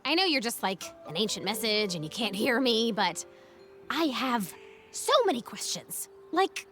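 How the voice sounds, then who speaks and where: High-voiced, curious young heroine. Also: female voice, big pitch swings, moderate pace, fairly bright tone. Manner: casual, wry, inquisitive. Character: curious, plucky young huntress heroine, wry, friendly. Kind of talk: video game voice acting. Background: music.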